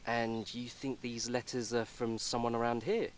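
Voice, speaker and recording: An actor playing a blunt, caring friend in a radio soap, talking in a wry, emphatic, colloquial way. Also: male voice, medium pitch, little pitch movement, moderate pace, close to an everyday voice. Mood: earnest, sympathetic. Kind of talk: naturalistic radio soap acting.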